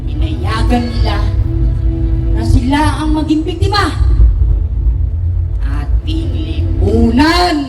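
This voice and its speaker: A woman's voice: big pitch swings, takes it slowly, long pauses. A woman playing an impassioned, indignant young person in a theatrical stage play, recorded from afar outdoors. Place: outdoor stage in a park, far from mic. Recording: distant echoey outdoor stage, loud music, rumble.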